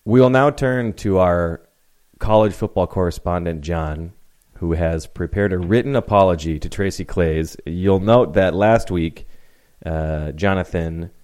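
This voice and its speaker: A man hosting a casual sports podcast, talking in a relaxed, hesitant way with lots of fillers. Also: low-pitched, unhurried, smooth. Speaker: podcast host.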